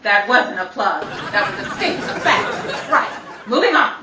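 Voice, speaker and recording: A woman's voice: fairly high pitch, big pitch swings, normal speed, a crowd in the background. A woman playing a brassy, exasperated 1930s stage star in old-time radio comedy style, bossy and wisecracking. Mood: bossy, brisk. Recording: roomy, distant, noisy amateur radio-play recording.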